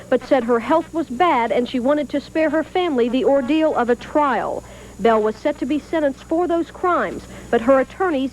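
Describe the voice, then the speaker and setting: A woman's voice: high-pitched, darkish tone, a little hiss or hum, poor audio. A woman reading a scripted television news report as a field reporter, on an old 1980s broadcast tape.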